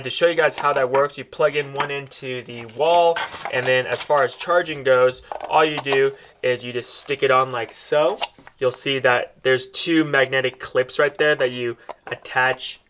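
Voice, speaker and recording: A man's voice: mid-range voice, sharp tone, slight background noise. A man presenting a product in a casual, step-by-step unboxing style, explaining things directly to viewers as he demonstrates.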